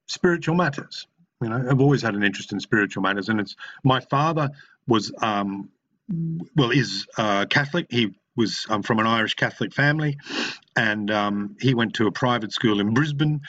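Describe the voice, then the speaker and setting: Middle-aged Australian man, frank recovery-meeting share, halting restarts, mid-pitched voice, a bit muffled. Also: a bit bright. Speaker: speaker sharing at a recovery meeting. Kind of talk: personal testimony.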